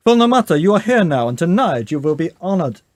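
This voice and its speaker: A man's voice: high voice, sing-song, fast talker, dry recording. Guy doing a posh-mannered vampire count, theatrical and a bit sinister, really up and down, dry recording. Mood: grandly hospitable. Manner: pompous, theatrical welcome. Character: grand theatrical vampire count, courteous and faintly menacing.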